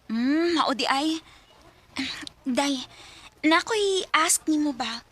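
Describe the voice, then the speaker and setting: Joy is a curious, gossipy teenage friend in a radio drama. Her voice is high, with a bright, sharp edge. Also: lively intonation, very slow, exaggerated voice.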